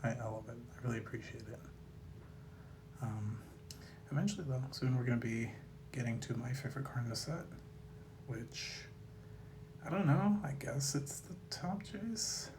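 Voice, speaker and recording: Slightly raspy man over noticeable background noise. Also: mid-range voice, moderate pace, long pauses. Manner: laid-back, musing, trailing off mid-thought. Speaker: host of a card collecting video series. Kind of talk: casual rambling commentary to viewers.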